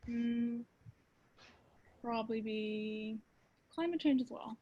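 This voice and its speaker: A woman in her twenties on a video call, speaking hesitantly with pauses. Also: a little high, breathy edge, muffled recording. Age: 20s. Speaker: focus group participant. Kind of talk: casual discussion.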